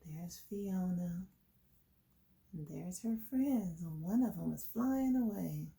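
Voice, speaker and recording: A woman's voice: on the low side, animated, slow, vocal fry, warm tone. A woman hosting a children's storytime, reading a picture book aloud and explaining it to young listeners. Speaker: children's storytime host and reader.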